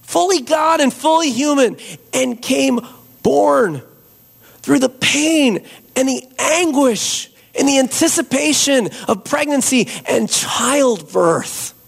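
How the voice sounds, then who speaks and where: A hoarse man preaching a Christmas Eve sermon and leading prayer as a pastor, slow and earnest. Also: extremely high pitch, lively intonation. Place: church.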